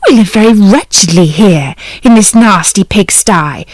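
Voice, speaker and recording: A woman's voice: mid-range voice, a little slow, darkish tone, dry recording, natural voice. A woman voicing a greedy, demanding fisherman's wife in a storybook reading. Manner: demanding and scolding.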